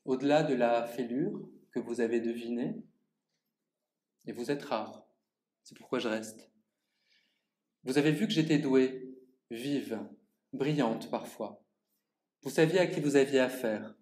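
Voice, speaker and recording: A man reading his own first-person monologue aloud at a public reading in an art gallery, speaking to the audience in a measured, theatrical way. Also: medium pitch, a little mellow. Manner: measured, theatrical delivery addressing the audience directly. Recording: echoey gallery room.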